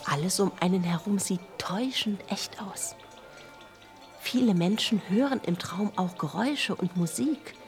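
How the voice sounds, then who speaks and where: A woman's voice: mid-range voice, unhurried, faint music behind. A woman reading a scripted explanation for children as a narrator.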